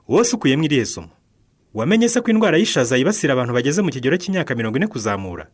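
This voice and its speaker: Very fast-talking male radio announcer. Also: mid-range voice, slightly rough. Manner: posing questions to listeners. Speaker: narrator and announcer. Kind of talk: scripted radio narration.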